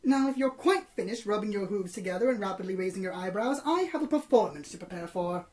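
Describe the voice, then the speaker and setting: A woman's voice: mid-range voice, expressive, quick pace, noisy background, close to an everyday voice. A woman playing a haughty, easily flustered pony performer in a radio play, with pompous, dramatic, formal diction. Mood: haughty, dismissive. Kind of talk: amateur radio play acting.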